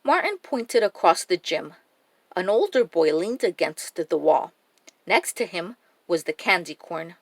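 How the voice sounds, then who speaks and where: Female children's storyteller, unhurried and very animated, dry recording. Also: fairly low pitch, no room sound. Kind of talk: expressive read-aloud storytelling for young children.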